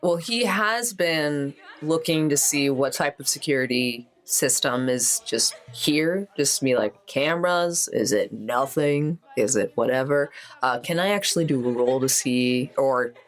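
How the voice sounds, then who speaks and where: A man's voice: medium pitch, expressive, unhurried, hardly any pauses, a bit bright. A man playing a tabletop game, chatting casually and thinking aloud in a tentative way.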